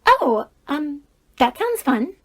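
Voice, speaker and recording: An earnest young woman with a distinctly high voice. She is wary and questioning, speaking in casual audio drama banter. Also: big pitch swings, slow, exaggerated voice. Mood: polite, wry. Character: earnest, easily unsettled, hesitant young woman.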